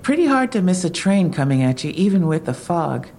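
An actress playing a wry, probing police detective in an audio drama, matter-of-fact and questioning. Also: female voice, on the low side, very animated, a little fast, mellow, a little hiss or hum.

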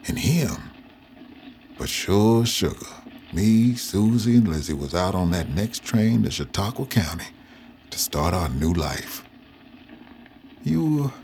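Old grandfather, very low voice, lively folksy delivery, audio drama with noisy ambience. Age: older. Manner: folksy, plainspoken. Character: old formerly enslaved Southern grandfather recounting his life. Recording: clear background noise and ambience.

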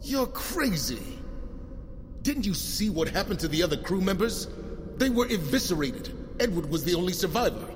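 An actor playing a stubborn, indignant American government agent in an audio drama. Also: male voice, high voice, big pitch swings, moderate pace, in a large hall, a little hiss or hum. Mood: skeptical.

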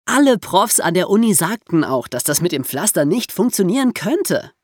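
A woman's voice: lowish voice, big pitch swings, normal speed, darkish tone, dry recording, studio quality. A woman hosting a podcast for young listeners, explaining and storytelling in a conversational way with casual asides. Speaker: podcast host.

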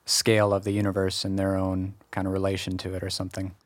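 A man's voice: deep voice, monotone, fast, no room sound. A man hosting a podcast, asking questions in a casual, conversational interview style.

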